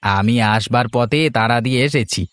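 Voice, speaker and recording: A man's voice: medium pitch, normal speed, a bit bright, clean tone, no room sound, close to an everyday voice. A man voicing a snobbish, gossiping village man in a cartoon folk tale, talking in a theatrical, conversational way. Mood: matter-of-fact.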